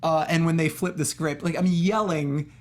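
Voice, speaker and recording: Movie review host, mid-pitched voice, casual banter, kinda sarcastic and jokey. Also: fairly even intonation, moderate pace, a crowd in the background. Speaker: host of a film review show.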